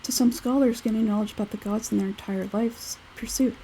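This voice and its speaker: Woman playing a cool, mellow, aloof male scholar. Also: fairly high pitch, steady tone, a little hiss or hum, natural voice, low-quality recording. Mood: cool, disapproving. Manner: measured, detached, matter-of-fact. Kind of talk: video game character dialogue read aloud.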